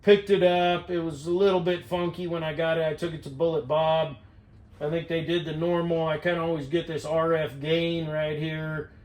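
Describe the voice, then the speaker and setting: Higher-voiced American man, roomy sound. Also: little pitch movement, normal speed, darkish tone. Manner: folksy, plain-spoken, unscripted. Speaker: hobbyist vlogger. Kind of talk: casual rambling talk to camera. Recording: roomy echo.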